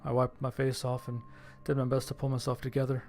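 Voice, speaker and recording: A man reading scripted first-person horror fiction as its narrator, with a gravelly voice. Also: mid-range voice, monotone, brisk, a little mellow, faint music behind.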